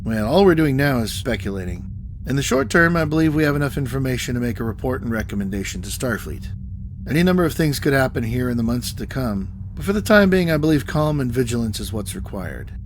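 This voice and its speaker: An actor playing a calm, authoritative starship captain with a formal, measured manner in a sci-fi audio drama. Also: male voice, medium pitch, a little hiss or hum, natural voice.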